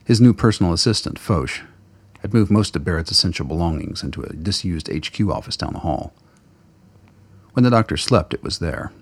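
Real deep husky guy reading dramatic story narration, lots of gravel in it. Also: expressive, brisk, dry recording. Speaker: narrator of a radio play.

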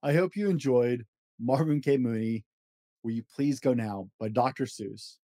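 A man's voice: medium pitch, a little slow, mellow, no echo, clean studio sound. A man hosting a children's story podcast, reading aloud expressively with an emphatic, rhythmic delivery.

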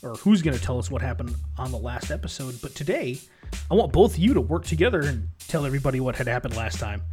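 A man's voice: mid-range voice, lively intonation, fast, over music. A man hosting and narrating a tabletop game as game master, upbeat and chatty.

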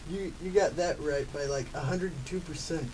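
A male performer voicing a polite, grumbling sloth, reading a little haltingly and mock-formally in an amateur radio comedy. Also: fairly high pitch, close to an everyday voice. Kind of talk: amateur old-time radio comedy drama. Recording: distant mic with faint background noise.

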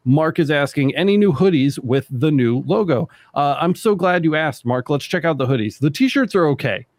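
A man in his thirties hosting a casual livestream, talking in a rambling, relaxed way full of fillers. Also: on the high side, moderate pace, no echo. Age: 30s. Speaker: livestream host.

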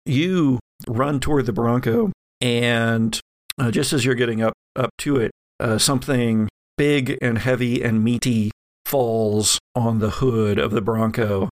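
A man acting as game master, narrating a horror tabletop game in a halting, descriptive way. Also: medium pitch.

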